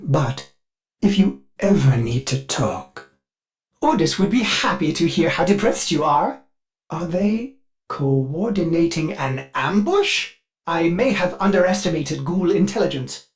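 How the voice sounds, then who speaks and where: An anxious, devoted ship computer for a video game. The delivery is very animated, swinging up and down with theatrical formality. It sounds like a fussy, solicitous servant. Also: male voice, fairly high pitch. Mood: concerned. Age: older. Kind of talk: scripted video game voice acting.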